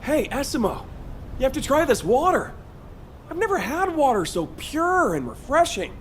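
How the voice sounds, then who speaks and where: Casting: young game builder, high, lively voice, excitable and sincere, light music behind. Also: male voice, normal speed, a little hiss or hum. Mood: amazed, eager. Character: friendly, enthusiastic young male outpost builder, loyal sidekick. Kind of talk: video game cutscene dialogue. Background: faint background music.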